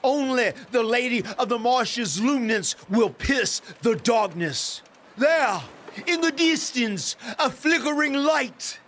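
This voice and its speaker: Weird grandiose boatman mystic, super high voice, slow n pompous, some noise behind. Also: animated, a bit bright, full character voice. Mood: solemn, theatrical. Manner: pompous, flowery, theatrical. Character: eccentric mystical ferryman with grandiose, theatrical speech.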